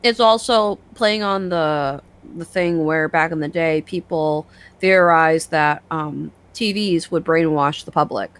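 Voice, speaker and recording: A woman's voice: deep voice, measured pace, clear, resonant tone. A woman chatting casually with friends as they watch and comment on videos. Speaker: reaction show co-host.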